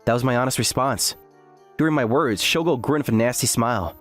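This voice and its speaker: A man's voice: mid-range voice, brisk, soft background music, lightly acted. A man playing an earnest, self-deprecating graduate student who narrates his story in an anime-style dub. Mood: weary. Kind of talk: first-person scripted narration with acted dialogue.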